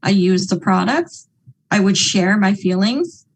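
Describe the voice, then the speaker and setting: A woman from Hawaii talks earnestly to her network-marketing audience, chatty and talkative, with many asides. Her voice sits in a middle pitch, and she often checks in with the listeners with little tags. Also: animated, unhurried, a little hiss or hum, poor audio. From Hawaii, United States. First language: English. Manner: chatty, earnest, repeats points with "okay?" and "right?". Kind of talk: motivational talk.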